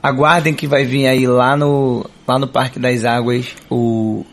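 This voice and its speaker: A man's voice: mid-range voice, little pitch movement, a little slow. A man with a Rio de Janeiro accent, speaking casually as a radio guest, informal, talkative and earnest. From Niterói, Rio de Janeiro state, Brazil. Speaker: interview guest, samba singer and musician. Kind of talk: casual chat.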